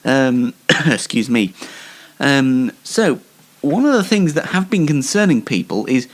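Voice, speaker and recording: A man hosting a casual online show, talking in a halting, self-deprecating and wry way. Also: medium pitch, animated, poor audio. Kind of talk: casual live show monologue with light news commentary.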